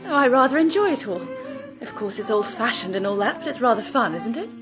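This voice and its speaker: An actress playing a headstrong, tense young granddaughter in love in a radio drama, with a breathy voice. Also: female voice, fairly high pitch, sing-song, fairly quick, music in the background. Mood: nervous, conciliatory. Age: young adult.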